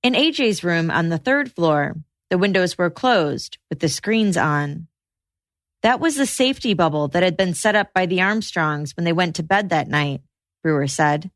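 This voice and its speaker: A woman reading scripted true-crime podcast narration in a measured, matter-of-fact way. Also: fairly low pitch, expressive, dry recording, clean studio sound.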